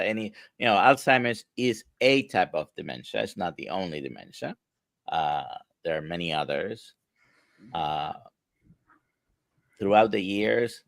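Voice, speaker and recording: Deep gravelly man with a Spanish accent. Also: a bit bright, no echo. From grew up speaking Spanish, now near Orlando. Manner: halting, many "uh" fillers, reads viewer questions. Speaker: host, physician. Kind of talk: casual live-stream interview.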